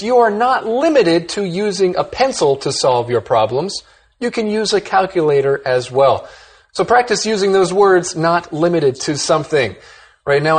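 English lesson host, breathy voice, upbeat, explains vocabulary, reads examples. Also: medium pitch. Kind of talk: scripted English lesson narration.

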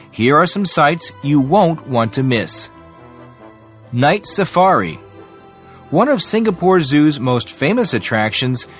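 A man reading a travel article aloud as scripted narration, in an expressive, announcer-like way. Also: fairly high pitch.

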